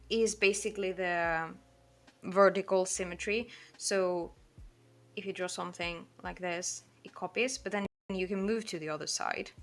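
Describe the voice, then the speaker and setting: Fast-talking Portuguese woman artist. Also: mid-range voice. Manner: fast-talking, rambling, with frequent "like" and self-corrections. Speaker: artist streaming a drawing session. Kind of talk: casual livestream chat while drawing.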